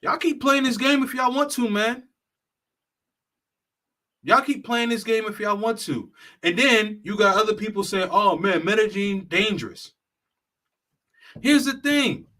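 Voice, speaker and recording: A man's voice: high-pitched, measured pace, pauses often, a bit bright. A man who works as a travel consultant, giving a blunt, profane, lecturing monologue straight to the viewer. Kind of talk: heated straight-talk monologue.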